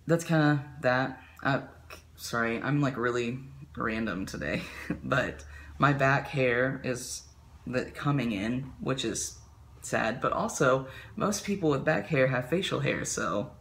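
A man's voice: mid-range voice, a bit bright. A young man talking casually to camera in a small bedroom, rambling with lots of fillers, his voice prone to cracking. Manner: rambling with many fillers and likes. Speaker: vlogger. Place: small bedroom with ceiling fan.

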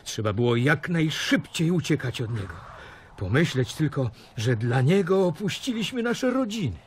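An actor playing a frightened, humble disciple who pleads and makes excuses in a radio drama. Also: male voice, a little high, very animated, moderate pace, breathy edge. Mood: pleading, fearful.

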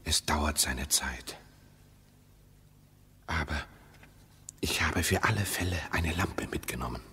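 An actor playing an insistent, mistrustful husband in a German radio play, speaking in clipped, deliberate phrases with a husky voice. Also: male voice, rumbling low, bright, forceful tone, a little hiss or hum, heavily acted. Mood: guarded, measured. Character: insistent, mistrustful husband exploring underground with his wife.

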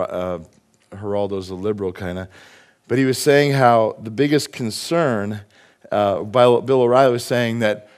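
A man's voice: fairly low pitch, smooth. A middle-aged man with a cold, preaching a casual sermon from a church stage and putting questions to the congregation. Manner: conversational, asks the congregation questions. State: has a cold. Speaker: pastor. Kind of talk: sermon with jokes.

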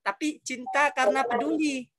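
A man's voice: very high voice, steady tone, moderate pace, a bit bright. Patient male teacher on a video call. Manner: patient, repeats instructions. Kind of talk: online class explanation.

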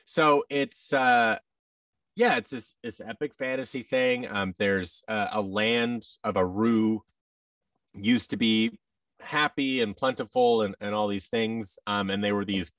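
Animated man, a little off-mic. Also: fairly low pitch, unhurried, no echo, distant mic. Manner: animated, acts out quoted exchanges. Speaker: podcast guest, audio drama creator. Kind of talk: casual interview chat. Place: indoor room, video call. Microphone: boom-arm microphone with headset.

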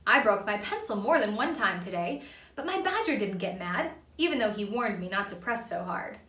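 A woman's voice: mid-range voice, very animated, normal speed, fairly bright tone. A young woman reading a children's storybook aloud expressively, recorded in a small room a little away from the microphone. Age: young adult. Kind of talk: expressive read-aloud narration. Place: small indoor playroom. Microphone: camera a few feet away. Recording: roomy, slightly distant microphone.

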